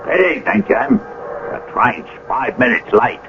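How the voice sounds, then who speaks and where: A man's voice: medium pitch, huge pitch swings, slow, vocal fry, a bit bright, heavily acted. An actor playing a helpful, inquisitive English station man in a radio drama. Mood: curious, concerned. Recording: dull, noisy old radio drama recording.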